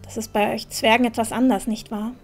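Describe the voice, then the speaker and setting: A woman playing a practical, caring young village adventurer in an audio drama, speaking in a calm, matter-of-fact way. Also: a little high, a little fast, faint music behind, close to an everyday voice. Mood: calm, concerned.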